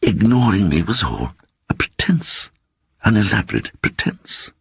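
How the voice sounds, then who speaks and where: An actor voicing a timid little man who earnestly and softly recounts an eerie adventure in a dramatic audiobook reading. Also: fairly low pitch, measured pace, husky, dry recording, pitched above the performer's own voice, heavily acted. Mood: intense, uneasy. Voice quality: soft voice. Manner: hesitant, earnest, growing excited.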